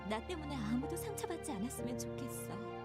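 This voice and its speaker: A sorrowful young woman in a dramatic visual novel. Her voice is fairly high and very quick, trembling with self-blame and close to tears. Music plays clearly behind her. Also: animated. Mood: despairing, pleading. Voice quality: trembling, breathy at phrase ends. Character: despairing young woman, gentle, self-blaming, near tears.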